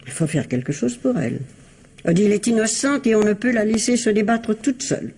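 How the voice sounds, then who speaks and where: A woman playing an elderly, falsely sweet, gossipy and scheming mother-in-law in a radio mystery, in a husky, insinuating, theatrical manner. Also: medium pitch, lively intonation, fairly quick, a little mellow, slight background noise, lightly acted. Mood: falsely concerned. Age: older. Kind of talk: radio mystery drama acting.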